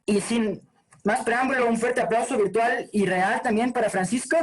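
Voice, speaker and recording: A man's voice: extremely high pitch, fairly even intonation, moderate pace, slightly rough. Young Ecuadorian man hosting formally. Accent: Ecuadorian Spanish. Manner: formal, reads from a script. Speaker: host. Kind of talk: scripted event hosting. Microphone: video call.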